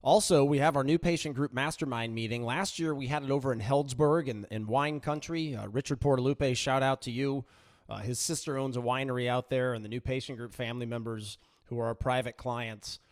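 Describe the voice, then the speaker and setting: A man hosting his own podcast in an upbeat, energetic manner, his voice still slightly raspy from a recent voice loss. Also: medium pitch, fairly quick, no room sound, clean studio sound. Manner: upbeat, energetic, lots of shout-outs. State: recovering from voice loss. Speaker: podcast host. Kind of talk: casual podcast monologue.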